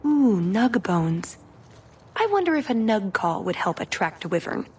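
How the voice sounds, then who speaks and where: A woman playing a playful, quick-witted young elf adventurer in a scripted fantasy game. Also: medium pitch, very animated, a little mellow, husky, heavily acted. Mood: playful, wry.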